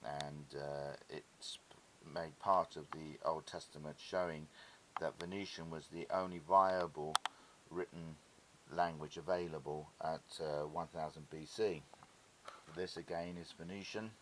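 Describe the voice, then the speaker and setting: A man whose first language is Greek gives an explanatory lecture as a presenter, speaking in a halting, deliberate way with pauses mid-phrase, on a hissy recording. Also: a bass voice. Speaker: presenter of an educational video. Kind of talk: explanatory lecture with visual aids. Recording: hissy recording with steady background noise.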